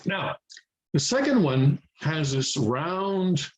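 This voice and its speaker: A man's voice: on the high side, very animated, takes it slowly, poor audio. A man whose first language is English, patiently teaching how to read Korean letters in a casual home tutorial, repeating himself and checking in often. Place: home near a highway, passing traffic.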